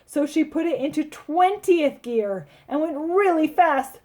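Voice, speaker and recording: A woman reads a children's picture book aloud in a teacherly way. Her voice is fairly high and her pace very slow. She is expressive and emphatic, speaking straight to young listeners.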